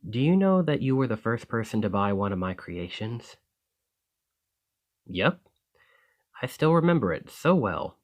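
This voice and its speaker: A man's voice: medium pitch, sing-song, unhurried, long pauses. A man playing a friendly, earnest, easily flustered alchemist in an ASMR roleplay, talking conversationally to the listener. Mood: fond reminiscing. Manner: conversational, enthusiastic, hesitant when embarrassed.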